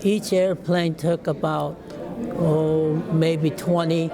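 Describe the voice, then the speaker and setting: A man in his nineties, a war veteran, recalling the past haltingly, with many restarts and chuckles. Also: a little high, flat delivery, over music. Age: 90s. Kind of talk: casual reminiscing.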